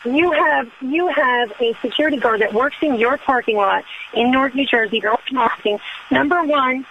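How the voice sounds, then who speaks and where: A woman playing a brash, indignant, flirty complainer on a prank phone call, rambling, pushy and quick to interrupt. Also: sits high, slight background noise. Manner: rambling, interrupting, pushy, calls listener sweetheart. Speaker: prank caller. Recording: dull lo-fi phone line.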